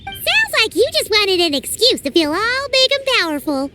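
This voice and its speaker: A woman voicing a tiny, cheeky female fairy companion in a video game, talking in a squeaky, childlike way and calling herself by name. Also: sing-song, normal speed, sharp tone, faint music behind, heavily acted. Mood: sassy.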